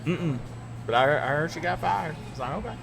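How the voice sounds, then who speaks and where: A man's voice: a little high, lively intonation, fairly quick, vocal fry, background noise. A man hosting a casual podcast chat, telling stories candidly and emphatically, with plenty of restarts. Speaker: podcast host and radio broadcaster. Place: radio studio.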